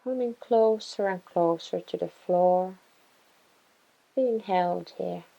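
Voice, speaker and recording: A woman guiding a body scan meditation in a gentle, soothing, unhurried way, leaving pauses between phrases. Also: fairly low pitch, gravelly, lo-fi sound. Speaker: meditation guide.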